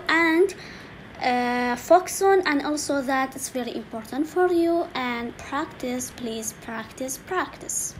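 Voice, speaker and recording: A woman teaching an English lesson slowly, repeating each word and saying "okay" often, with keyboard typing faintly behind her. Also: sits high, a little hiss or hum, poor audio. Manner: slow, repetitive, saying each word twice with frequent "okay". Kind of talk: slow screen-recorded language lesson.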